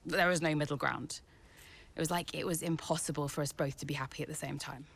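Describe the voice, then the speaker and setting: A woman's voice: deep voice, steady tone, fast talker, creaky, a little hiss or hum. A woman playing a resentful, defensive racing driver in a video game, plain-spoken and reproachful. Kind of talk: dramatic game cutscene dialogue.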